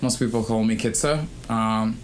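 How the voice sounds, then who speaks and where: A young man from Kenya co-hosting a student podcast, speaking thoughtfully with frequent pauses and fillers. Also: on the low side, slight background noise. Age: young adult. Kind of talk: casual conversation.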